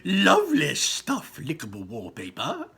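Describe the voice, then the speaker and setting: A man voicing an impatient, eccentric male candy maker, exclaiming and rushing through his lines in a storybook reading. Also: a little high, huge pitch swings, vocal fry, fairly bright tone, husky, voice pushed up, exaggerated voice. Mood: excited. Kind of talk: storybook read-aloud.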